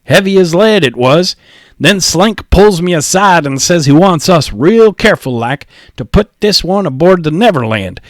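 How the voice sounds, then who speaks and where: Wiry storybook deckhand done by a male reader: rough working-class talk, argumentative complainer, raised voice, dry sound. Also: on the high side, expressive, normal speed, dry recording, pitched above the performer's own voice, lightly acted. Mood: conspiratorial, gruff. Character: thin, wiry, strong sailor, complaining and argumentative. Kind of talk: audiobook character voice.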